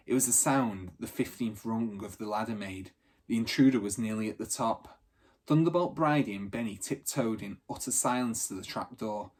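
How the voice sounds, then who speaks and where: A man narrating a children's storybook aloud in an expressive, teacherly reading style. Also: on the low side, husky.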